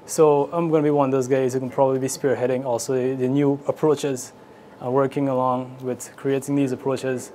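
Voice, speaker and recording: A man's voice: medium pitch, level tone, brisk, warm tone. A man with a Guyanese accent giving informal interview answers as an air traffic controller. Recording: clearly noisy location interview.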